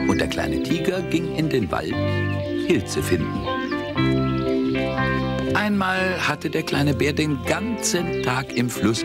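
A man narrating a children's storybook tale in simple, measured sentences. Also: mid-range voice. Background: loud music.

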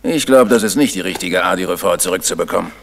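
A man's voice: sits low, quick pace, gravelly, a bit bright, full character voice. An actor playing a kidnapper in a 1970s radio crime drama, a threatening man who is himself cornered. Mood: coolly threatening. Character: threatening male kidnapper turned cornered, pleading captive.

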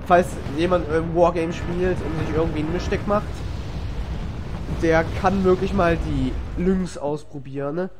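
A man's voice: on the high side, fairly even intonation, a little slow. A man with a cold, casually narrating a replay of his strategy game match, rambling with many fillers and self-corrections. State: has a cold, coughs. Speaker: gamer commenting on a replay. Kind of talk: casual gameplay voice-over commentary. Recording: noisy home recording.

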